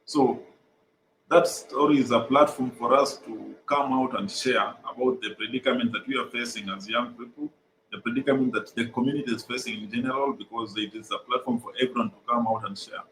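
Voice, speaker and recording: A man's voice: mid-range voice, steady tone, fairly bright tone, a little hiss or hum. Kenyan man on an echoey call. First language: Swahili. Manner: earnest, many "uh" pauses, repeats phrases. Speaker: guest, mental health organization co-founder. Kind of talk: explaining programs in an interview. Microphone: video call.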